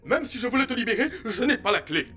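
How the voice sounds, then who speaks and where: A man voicing a hesitant, soft-hearted royal guard in a melodramatic, faltering way for a French animated film dub. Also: very high voice, fast talker, faint music behind, full character voice. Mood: wry, matter-of-fact.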